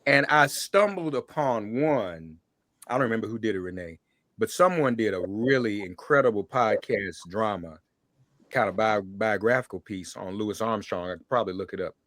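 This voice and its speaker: A man's voice: lowish voice, normal speed, a bit bright, no room sound. Effusive male interviewer, close dry sound. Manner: effusive, repeats phrases as he talks. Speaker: talk show host. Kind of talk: conversational interview.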